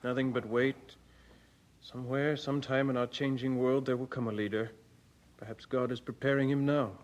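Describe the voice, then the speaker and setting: Man playing an earnest, devout churchman, mid-pitched. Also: flat delivery, moderate pace, close to an everyday voice. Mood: solemn. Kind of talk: old radio drama acting.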